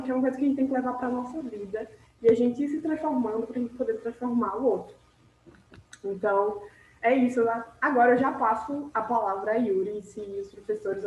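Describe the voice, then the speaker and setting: Chatty woman on an echoey video call. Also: fairly high pitch. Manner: casual and chatty, many fillers. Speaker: event host, student. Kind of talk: online event introduction. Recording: roomy, dull video call audio with faint noise.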